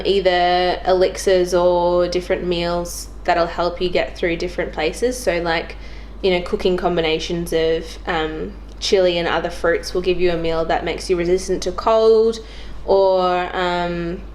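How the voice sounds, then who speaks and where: A young woman chatting casually about video games as a guest on a show. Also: lowish voice. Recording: clearly noisy background.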